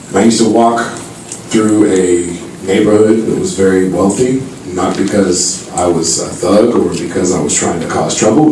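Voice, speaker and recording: A man's voice: on the low side, a little mellow. A man from California making conversational, heartfelt remarks as a speaker at a public meeting. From Menlo Park, California. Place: meeting hall, public town-hall event. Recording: echoey hall, distant mic, faint noise.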